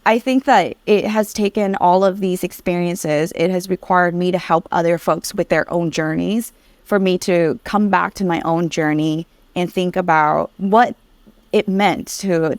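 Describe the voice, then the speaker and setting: A woman from Vietnam, a podcast guest, candidly telling her personal story with lively, animated recollection. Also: lowish voice, dry recording. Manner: candid, lively, laughs while recalling. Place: Vietnamese-born guest, raised in America.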